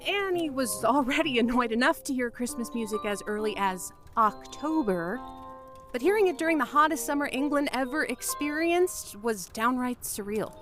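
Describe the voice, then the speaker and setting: A frightened investigator in a horror audio drama, telling a story in a halting, anxious way. Her voice sits fairly high and swings up and down at an unhurried pace, with music playing clearly behind it. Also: expressive. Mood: wry, tense storytelling. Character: terrified American woman investigator stammering out a story.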